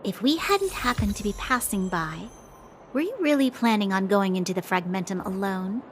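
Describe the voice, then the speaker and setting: A woman's voice: medium pitch, animated, normal speed, a little hiss or hum, close to an everyday voice. An actress voicing a dutiful young woman companion in a video game, speaking in a reproachful, by-the-book way.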